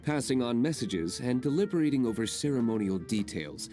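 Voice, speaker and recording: A man's voice: medium pitch, very animated, fairly quick, mellow, faint music behind, lightly acted. An actor voicing a stern, aloof young nobleman in a video game, dry and reserved.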